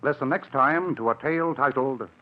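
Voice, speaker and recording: A man's voice: medium pitch, moderate pace, loud music behind the voice. A man announcing a radio show in formal, emphatic, scripted 1940s style. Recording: tinny, hissy 1940s radio recording.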